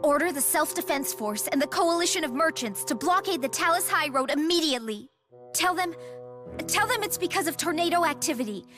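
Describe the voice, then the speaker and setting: An actress playing an earnest, decisive young noble lady in a video game, with genteel, crisp phrasing. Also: sits high. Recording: loud music behind the voice. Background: loud background music.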